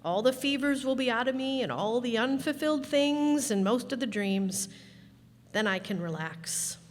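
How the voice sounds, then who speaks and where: A woman playing a gentle, resigned twelve-year-old boy with an old soul in a live radio play. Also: fairly high pitch, animated, moderate pace, natural voice. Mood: wistful, resigned. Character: wistful twelve-year-old boy who is secretly over forty. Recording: echoey hall, stage pickup.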